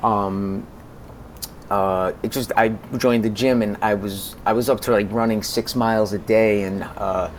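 American male, 40s, podcast guest. Lowish voice, halting conversational delivery, noticeable background noise. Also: fairly even intonation. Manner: halting, repeats words as he goes. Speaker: podcast guest, music consultant. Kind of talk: conversational interview.

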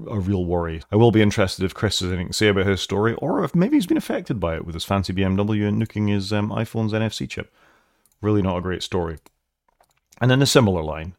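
A man's voice: low-pitched, dry recording. A man hosting a solo tech news podcast, chatting casually with dry asides and frequent self-corrections. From Wales. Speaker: podcast host.

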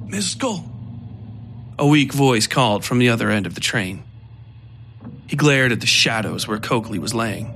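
A male narrator reads dark horror fiction in a steady, measured voice at a middling pitch. A faint hiss sits under the recording.

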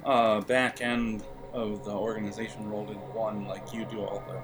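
A man's voice: on the low side, little pitch movement, normal speed, soft background music. A man narrating a role-playing game as game master, speaking conversationally and often searching for words.

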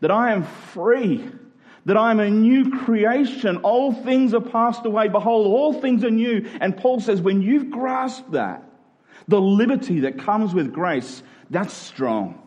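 Man preaching with very animated, swooping intonation. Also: high-pitched, takes it slowly. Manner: emphatic, rhetorical, acting out imagined objections. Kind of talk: conversational expository sermon.

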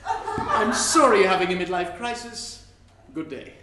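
An actor playing a weary, reluctant retired spy in a live comic play reading, earnest, sardonic and resigned. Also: male voice, sits high, big pitch swings, measured pace. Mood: exasperated. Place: live performance in a room. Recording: roomy live recording, faint noise.

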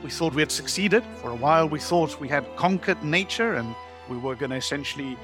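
A man, a water expert and author, speaking in a measured way with emphatic pauses. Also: on the high side, lively intonation, a bit bright. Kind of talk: reflective interview talk. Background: background music.

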